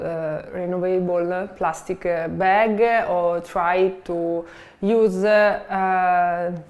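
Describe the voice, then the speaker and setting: A woman's voice: fairly low pitch, a little mellow. A woman from Italy giving a hesitant interview answer full of fillers.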